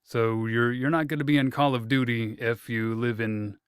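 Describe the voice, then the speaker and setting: A man from Indiana hosting an interview, asking questions in a relaxed, joking way. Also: medium pitch, normal speed, clear, resonant tone, dry recording, clean studio sound. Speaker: interviewer, host.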